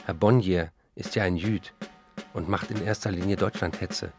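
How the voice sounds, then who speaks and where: An actor in a radio play voicing an embattled, wry German novelist of the 1930s, reading out letters and diary entries. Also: male voice, low voice, fairly even intonation, normal speed, a little mellow, soft background music. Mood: formal, guarded.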